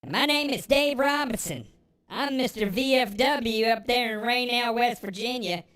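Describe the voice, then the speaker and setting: A man putting on a high, chirpy impression of a little guy who is a veterans' club regular. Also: moderate pace, a bit bright, clear, resonant tone. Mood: joking.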